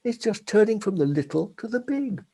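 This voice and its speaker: An older Englishman, speaking in a gentle, unhurried way as an interview guest and laughing softly between thoughts. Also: high voice, sing-song, breathy edge. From England. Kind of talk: reflective interview talk.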